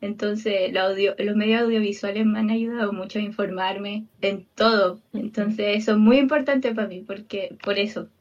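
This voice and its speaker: A woman's voice: medium pitch, steady tone, moderate pace, few pauses, distant mic, highs cut off. A young woman speaking earnestly in a casual group chat, with hesitant restarts.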